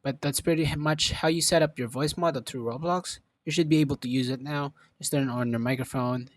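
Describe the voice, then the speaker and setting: Man, mid-range pitch, casual tutorial narration, informal, instructional, step-by-step. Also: steady tone, a little fast. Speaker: tutorial presenter.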